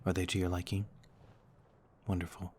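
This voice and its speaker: A man playing a gentle, ancient noble vampire in an ASMR roleplay, speaking in a courtly, soothing way. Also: low-pitched, little pitch movement, moderate pace, breathy edge. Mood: tender, grateful. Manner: courtly, formal, soothing, reassuring. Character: gentle noble male vampire, over a thousand years old, looks thirty-two.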